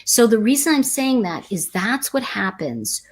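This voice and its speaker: A woman's voice: fairly high pitch, sing-song, measured pace, husky. An American woman hosting a tarot talk, telling stories in a rambling, chatty way with many restarts. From United States. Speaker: tarot teacher and host. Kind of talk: casual storytelling livestream.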